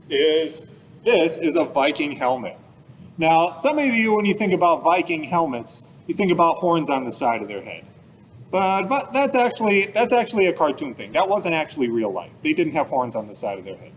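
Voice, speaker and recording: A man's voice: a little high, a little hiss or hum, low-quality recording. A man giving an informal, plain-worded explanatory talk for children, like a museum expert. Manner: casual, plain-worded explanations with everyday comparisons.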